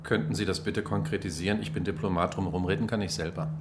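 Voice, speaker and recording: An actor playing a wry, evasive German diplomat in a radio drama, dry and ironic in manner. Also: male voice, low voice, steady tone, quick pace, very noisy, close to an everyday voice. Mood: dry impatience. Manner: dry, ironic, smooth-talking. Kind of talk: naturalistic radio drama acting.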